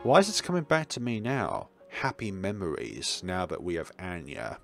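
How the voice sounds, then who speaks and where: Low-voiced man reading a visual novel, animated pitch. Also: moderate pace. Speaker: gaming video maker reading a visual novel aloud. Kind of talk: let's-play read-aloud with casual side remarks. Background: game music.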